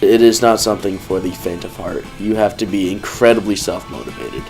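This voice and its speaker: A young man from Los Angeles, a student photographer, speaking earnestly and matter-of-factly in a documentary interview in a dark studio. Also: sits low, steady tone, fairly quick, creaky, soft background music, low-quality recording. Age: young adult.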